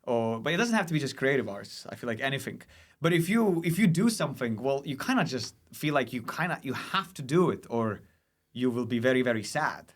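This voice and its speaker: Man, mid-range pitch, casual podcast guest, reflective, conversational. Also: expressive, brisk. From Lithuania. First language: Lithuanian. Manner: reflective, conversational, frequent 'like' and 'you know'. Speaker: stand-up comedian, podcast guest. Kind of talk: casual chat.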